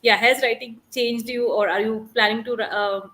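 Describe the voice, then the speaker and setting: Woman hosting a book club, slightly high voice, lots of uh and um while reading out questions. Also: steady tone, normal speed, bright, forceful tone, poor audio. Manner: reads out audience questions with fillers. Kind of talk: online book club interview.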